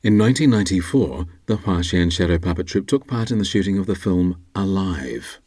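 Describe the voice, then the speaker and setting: A man reading scripted documentary narration in a measured, formal manner. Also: low voice, expressive, a little mellow, no echo.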